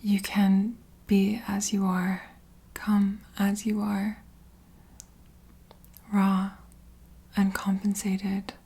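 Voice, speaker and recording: Woman, ASMR whisper, very airy, flat intonation, soothing, reassuring, unhurried roleplay delivery. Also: mid-range voice. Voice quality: soft breathy whisper. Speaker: ASMR host. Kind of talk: whispered ASMR roleplay, gentle guided comfort.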